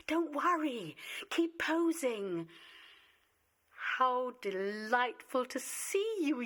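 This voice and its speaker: A woman playing a slow, theatrical, emotional mother. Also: fairly high pitch, a bit bright, full character voice. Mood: teasing, gracious. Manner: theatrical, deliberate, dramatic pauses. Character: anxious, emotional wife and mother, superstitious, pleading. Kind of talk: radio play acting.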